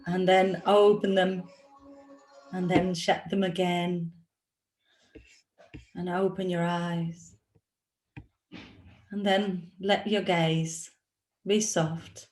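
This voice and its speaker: A woman leading a guided meditation as a yoga nidra teacher, speaking in a soothing, instructional manner with long pauses. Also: on the low side, little pitch movement, a little mellow, soft background music. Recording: roomy, echoey sound.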